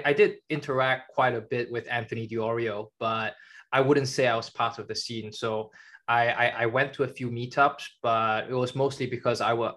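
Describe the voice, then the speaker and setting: A man's voice: mid-range voice, a bit bright. Young man answering plainly on a headset. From born in China, grew up in Germany. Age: young adult. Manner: plain, measured, matter-of-fact. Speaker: guest, journalist and author. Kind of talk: interview answers on a video call. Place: home room with a kitchen behind.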